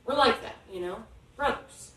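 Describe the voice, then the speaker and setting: A man's voice: very high voice, lively intonation, normal speed, bright, forceful tone, voice pushed up, full character voice. A woman voicing a guarded, loyal rural man with folksy, ungrammatical speech in a story read aloud. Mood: earnest, reminiscing. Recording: echoey hall, distant, faint noise.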